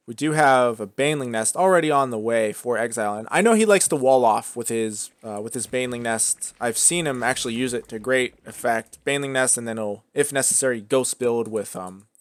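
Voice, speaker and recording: A young man casting a strategy game match in a relaxed, chatty way, with frequent fillers and restarts. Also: mid-range voice, smooth, no room sound. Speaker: esports caster. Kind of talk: casual play-by-play commentary.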